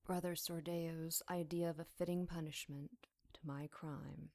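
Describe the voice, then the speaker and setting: A woman's voice: deep voice, fairly even intonation, unhurried, darkish tone, dry recording, studio quality. An actress playing a captive demon witch in an audio drama, sly, wry and defiant in a dryly mocking way. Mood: bitter, scornful.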